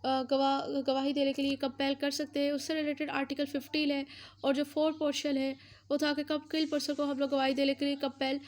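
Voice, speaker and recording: A woman with a Pakistani accent teaches as a law lecturer. Her voice is high and stays on a narrow, even line. She explains step by step, circling back over points and dropping in English terms. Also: fairly quick. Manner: repetitive, uses English legal terms mixed in. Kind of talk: explanatory lecture, recapping legal articles.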